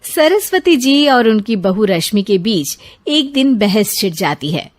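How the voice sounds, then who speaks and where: A woman's voice: medium pitch, very animated, measured pace. A woman reading scripted narration for a moral story as its narrator.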